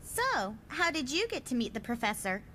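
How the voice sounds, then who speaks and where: A woman voicing a curious, excitable teenage girl, a new student in a motion comic. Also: high voice, normal speed, slight background noise, full character voice. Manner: inquisitive, eager.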